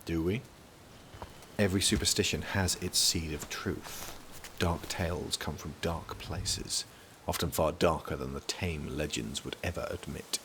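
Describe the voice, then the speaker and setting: A low-voiced, brooding prince, acted by a man in a fantasy audio drama. He is wary and dry-witted, speaking in grave, deadpan terms. There is faint noise behind him. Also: a little slow, exaggerated voice. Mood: ominous.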